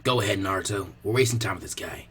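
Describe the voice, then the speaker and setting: A man voicing a laconic, weary, clever teenage boy ninja with a flat, drawling delivery, in a story reading. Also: fairly low pitch, fast talker, breathy edge, pitched below the performer's own voice. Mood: grim.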